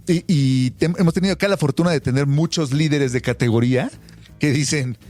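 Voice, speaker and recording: A man hosts a relaxed podcast interview and keeps nodding along with short agreements. He asks loose, rambling questions in a middle pitch, with soft music faintly behind him. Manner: affirming, many "claro" and "ajá" responses. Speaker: podcast host.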